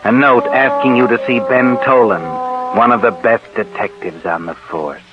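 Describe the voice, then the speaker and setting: Muffled old radio recording, loud music, man acting as sinister male narrator, taunting, insinuating, 1940s suspense style. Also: fairly low pitch, unhurried, natural voice. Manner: taunting, insinuating, addresses the character directly.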